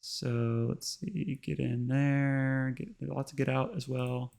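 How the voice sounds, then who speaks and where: Slightly hoarse male host, gravelly, mid pitch, chatty tutorial read with lots of ums. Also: steady tone, slow pace, darkish tone. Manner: chatty, full of ums and uhs. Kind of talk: casual screen-recorded tutorial narration.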